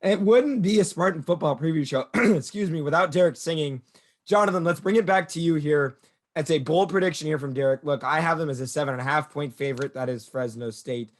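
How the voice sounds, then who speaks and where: Young male host, slightly raspy from a cold. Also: fairly high pitch, a little fast. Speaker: show host. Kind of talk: sports show hosting.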